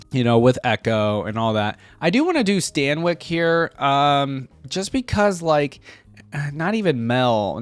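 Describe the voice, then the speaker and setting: A man's voice: mid-range voice, no echo. A man reading an article aloud for a reaction video, adding casual conversational asides as he goes.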